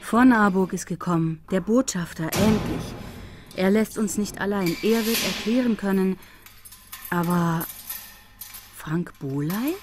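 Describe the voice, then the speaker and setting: An actress playing a worn-out, despairing but composed female investigator in jail, speaking in halting, broken phrases in a radio drama. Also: mid-range voice, noisy background, close to an everyday voice. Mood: subdued, anxious. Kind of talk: radio drama acting, first-person monologue.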